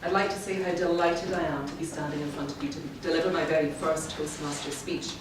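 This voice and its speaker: A middle-aged woman, giving a prepared speech to a club in a measured, wry, self-deprecating way. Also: sits low, steady tone. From Scotland, born in the Highlands. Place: indoor meeting room, in front of an audience. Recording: very echoey room, distant mic, clearly noisy.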